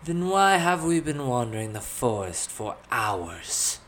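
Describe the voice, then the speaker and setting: A narrator voicing a cocky, commanding adventurer man in a fantasy story, with a brisk, self-assured delivery. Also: mid-range voice, lively intonation, a little hiss or hum, natural voice. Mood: indignant.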